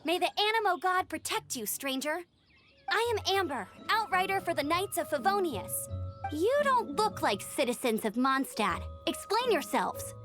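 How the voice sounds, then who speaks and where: A woman playing a plucky young female knight scout in a video game, brisk, earnest and a little formal. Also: very high-pitched, sing-song, over music. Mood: suspicious, challenging.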